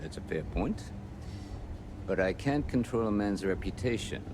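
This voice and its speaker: A genial, mercenary male space pirate voiced by an actor for a video game. He speaks with courtly manners and big swings of pitch, over clearly audible background noise. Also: normal speed, close to an everyday voice. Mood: courteous, resigned. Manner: courtly, expressive.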